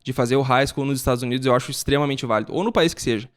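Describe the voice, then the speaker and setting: A man's voice: medium pitch, rapid-fire. A young man from Brazil, a podcast guest explaining things in casual chat and often ending with a tag question.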